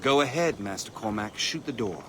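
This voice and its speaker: An actor playing a commanding colonel and mentor in a video game, speaking formally and deliberately with authority. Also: male voice, medium pitch, lively intonation, noisy background, natural voice. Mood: instructive, commanding. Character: commanding, measured colonel, seasoned male military mentor. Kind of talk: video game character acting.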